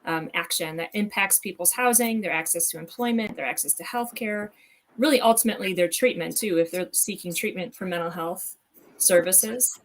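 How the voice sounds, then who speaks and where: A woman speaking as a guest expert on a live talk, explaining in a measured way. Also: lowish voice. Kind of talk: informative explanation.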